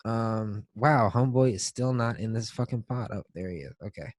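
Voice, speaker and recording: Low-voiced man, casual podcast co-host. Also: normal speed, no echo, clean studio sound. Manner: profane, slangy. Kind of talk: casual comedic podcast chat.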